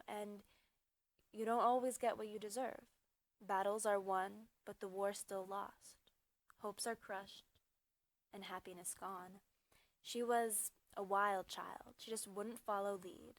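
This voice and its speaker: A woman performs a slam poem in a mid-pitched voice. She delivers it in short, measured phrases with dramatic emphasis, as a spoken-word poet would. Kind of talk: slam poetry recitation.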